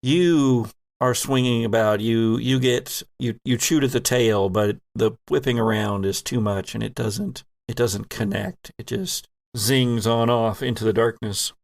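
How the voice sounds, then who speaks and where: A man narrating a horror tabletop game as game master, telling the story deliberately and dramatically with pauses. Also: mid-range voice, steady tone, gravelly, no room sound.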